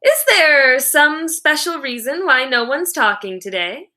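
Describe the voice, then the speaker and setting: A woman's voice: high voice, sing-song, unhurried, bright, forceful tone, pitched above the performer's own voice, exaggerated voice. A woman voicing a controlling school principal in a storybook, addressing pupils formally with forced cheer. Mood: stiffly pleasant.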